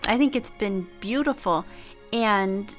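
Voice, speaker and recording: A woman co-hosting a podcast in casual chat, calm and earnest as she tells stories. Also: mid-range voice, takes it slowly, soft background music. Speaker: podcast co-host.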